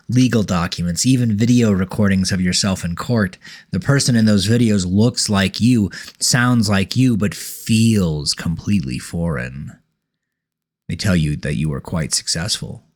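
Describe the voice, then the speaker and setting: A man reading a scripted second-person horror story as narrator, in a measured, dramatic way. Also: sits low. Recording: voice over prominent background music. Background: music.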